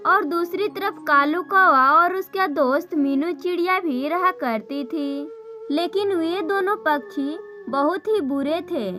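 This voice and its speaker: A woman narrates a scripted story for children. Her voice is very high. Music plays clearly behind her narration. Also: unhurried, bright, forceful tone.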